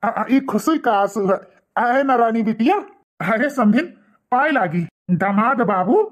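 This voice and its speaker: A man's voice: extremely high pitch, animated, measured pace, a little hiss or hum. Man voicing a humble, sorrowful older Awadhi father. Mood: affectionate. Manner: stammering when shaken, sentimental. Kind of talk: cartoon family melodrama acting.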